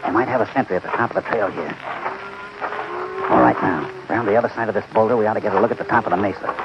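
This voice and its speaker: A man's voice: lowish voice, fast, over loud music, close to an everyday voice. A man playing a shrewd, decisive cowboy hero with an American accent and a clipped, commanding manner in a radio western. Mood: wary. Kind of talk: old-time radio western acting. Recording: noisy, muffled vintage radio recording.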